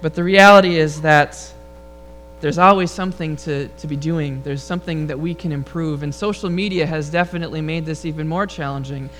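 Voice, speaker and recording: A man with a high, rather feminine-sounding voice, preaching a relaxed, humorous sermon and leading prayer before a congregation. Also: brisk, slight background noise, rough recording. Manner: relaxed, storytelling delivery with humor. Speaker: pastor. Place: church sanctuary before a congregation.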